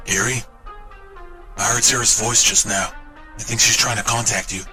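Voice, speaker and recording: A loyal shaken crew guy in a sci-fi game, really sharp forceful voice, bit of music under it. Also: fairly low pitch, fairly even intonation, moderate pace. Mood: urgent. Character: shaken, loyal crewman in a cyberpunk sci-fi tale. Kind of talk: dramatic game cutscene acting.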